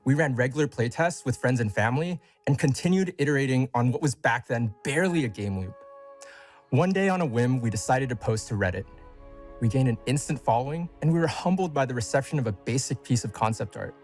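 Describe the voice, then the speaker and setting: A man's voice: medium pitch, lively intonation, brisk, darkish tone, soft background music. A man delivering a scripted announcement as a company co-founder addressing a community.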